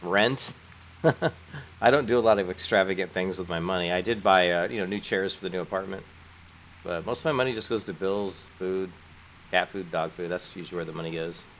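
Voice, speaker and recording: A man's voice: sits low, brisk, a bit bright, a little hiss or hum, poor audio. A middle-aged man with a sore throat, chatting casually and rambling to his viewers while streaming a game. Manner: friendly, rambling, thanks viewers. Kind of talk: casual chat while gaming.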